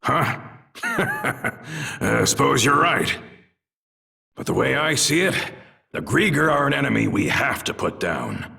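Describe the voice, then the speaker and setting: An actor playing a stern, seasoned warrior mentor in a video game, gruff and blunt as he instructs. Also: medium pitch, wildly swooping pitch, slow pace, long pauses, bright, forceful tone, in a large hall, recorded from a distance, exaggerated voice. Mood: gruff, measured. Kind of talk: video game cutscene voice acting.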